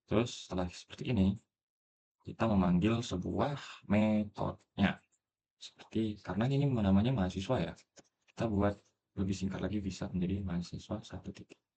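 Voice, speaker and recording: Low-voiced man teaching coding. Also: slightly rough, lo-fi sound. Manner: relaxed, thinking aloud with many fillers. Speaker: programming tutor. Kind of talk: screen-recorded coding tutorial.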